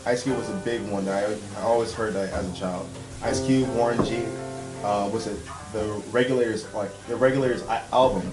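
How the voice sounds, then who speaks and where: A man's voice: on the low side, fairly even intonation, brisk. A man talking casually as a guest, rambling loosely with lots of fillers. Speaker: band member guest. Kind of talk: casual chat. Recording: echoey room with loud music.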